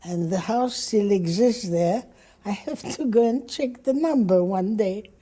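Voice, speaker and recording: An older woman from Egypt whose first language is Hebrew, slowly and reflectively telling her life story in an oral history interview. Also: medium pitch, mellow. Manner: slow, reflective storytelling with hesitations.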